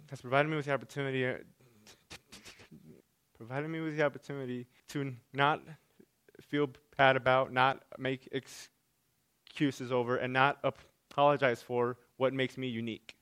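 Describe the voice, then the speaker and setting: A man's voice: medium pitch, fairly even intonation, normal speed, fairly bright tone, clean tone. A man who stutters gives a personal talk to an audience, often repeating sounds and restarting his words. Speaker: speaker giving a stage talk.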